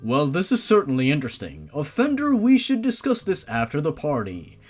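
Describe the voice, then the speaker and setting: A narrator voicing a composed, formal monster father with a measured way of talking in an amateur horror fan story. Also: mid-range voice, wildly swooping pitch, faint music behind.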